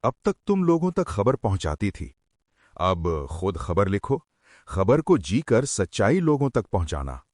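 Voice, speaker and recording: Cartoon role: proud, tipsy businessman father-in-law, played by a man; emphatic speechmaker, deliberate pacing, animated pitch. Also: no echo, studio quality. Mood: earnest. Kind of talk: animated story drama acting, speechmaking.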